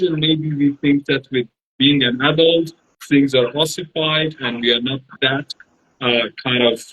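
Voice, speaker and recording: A man whose first language is Azeri, explaining his teaching ideas as an interview guest in a reflective way with many pauses. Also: medium pitch, fairly bright tone, low-quality recording. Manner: reflective, explanatory, frequent hesitations. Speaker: guest, academic trainer. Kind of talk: online interview conversation. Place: Turkey.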